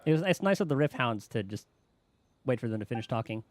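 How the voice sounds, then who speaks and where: A man's voice: medium pitch, very animated, quick pace. A man giving casual gameplay commentary as a streamer. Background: game music.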